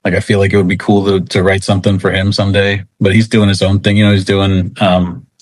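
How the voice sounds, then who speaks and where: A man's voice: deep voice, monotone, quick pace, dry recording. A man speaking casually as an interview guest, rambling and self-deprecating. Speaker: interview guest, comic book writer.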